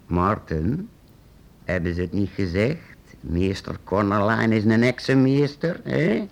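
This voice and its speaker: An actor playing a secretive, eccentric master painter-inventor in a radio play, hesitant and halting, then urgent. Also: male voice, fairly low pitch, animated, vocal fry. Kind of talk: radio drama acting.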